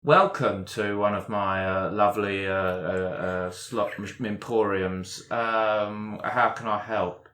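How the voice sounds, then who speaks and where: An older male arcade owner, played by a man at a game table with a lowish voice. He is oily and showy, and he halts as he reaches for grand words to welcome customers. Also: little pitch movement, slow pace, few pauses, a bit bright, roomy sound. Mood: smarmy welcome.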